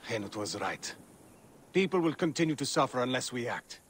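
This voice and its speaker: An actor playing a grieving, weary Egyptian husband and official in a dramatic game cutscene. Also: male voice, mid-range voice, very animated, unhurried, fairly bright tone, slight background noise. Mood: weary, grave.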